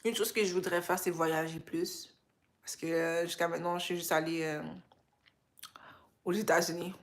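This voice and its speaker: A young woman with a Québécois French accent chats with her livestream viewers. Her voice sits a little low and sounds tired, and she talks in a relaxed, unhurried way, mixing English phrases into her French. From Canada. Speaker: livestream host. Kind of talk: casual livestream chat with viewers.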